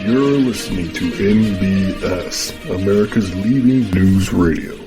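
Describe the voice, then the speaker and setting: A man playing a radio station announcer in an audio drama, delivering a slow, deliberate news station ident. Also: deep voice. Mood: polished, deliberate. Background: plucked guitar music.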